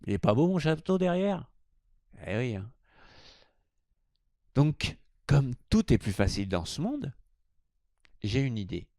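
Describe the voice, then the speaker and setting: A man's voice: mid-range voice, very animated, unhurried, pauses often, warm tone, no echo, studio quality. A man hosting a spirituality video, speaking to viewers as a presenter. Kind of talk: informal video greeting, then scripted explanatory narration.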